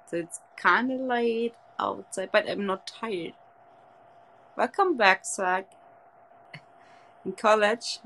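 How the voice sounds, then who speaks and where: A woman's voice: mid-range voice, slow pace, pauses often, rough recording. A young woman from Germany with a German accent, chatting casually with viewers on a late-night livestream from her bed, talking into a phone held close. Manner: lying down, relaxed, chatty with viewers. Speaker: livestream host. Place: bedroom at night.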